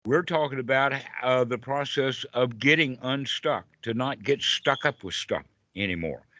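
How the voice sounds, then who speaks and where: An older man speaks as a meditation teacher in an informal group talk. His voice is bright and forceful, and his manner is jovial and colloquial, often checking in with a short question. The sound is close and dry but a bit dull, like online call audio. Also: medium pitch, normal speed, dry recording.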